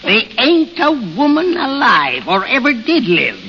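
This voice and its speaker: Casting: crotchety folksy old grandpa, piercing, wildly swooping delivery, vintage radio drama with hiss. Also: male voice, very high voice, wildly swooping pitch, unhurried, bright, forceful tone, long reverb tail, exaggerated voice. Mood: crotchety, sly. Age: older. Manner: cranky, folksy. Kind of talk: 1930s-40s radio drama acting. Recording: hissy, dull old radio recording.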